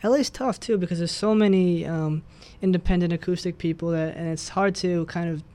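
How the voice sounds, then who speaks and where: A man's voice: high-pitched, fairly even intonation, a little fast, mellow. A man hosting a radio interview, chatting casually and enthusiastically with a musician guest. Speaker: radio host.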